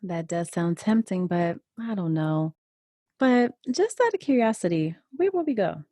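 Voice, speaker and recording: A coy, hesitant wife in a secret affair. Her voice is mellow and a little low, recorded dry and close in a naturalistic audio drama style. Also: big pitch swings, measured pace, dry recording. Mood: tempted, hesitant.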